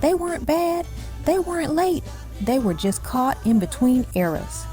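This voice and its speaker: A woman's voice: high-pitched, big pitch swings, unhurried, warm tone. A woman reading scripted narration for a music countdown, delivered in emphatic, short punchy phrases. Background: faint music under the voice.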